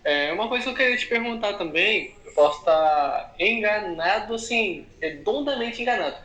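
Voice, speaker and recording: A man's voice: sits high, animated, moderate pace, a bit bright. A man from Brazil with a Brazilian accent, chatting casually as a co-host. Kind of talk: casual chat. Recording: roomy echo.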